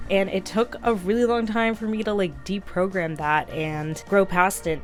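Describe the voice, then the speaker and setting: A woman in her twenties talking casually to camera in a rambling vlog, filling her speech with "like". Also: medium pitch. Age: 20s. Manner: chatty, fills speech with "like", rambling. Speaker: vlogger. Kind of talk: casual reflective vlog monologue.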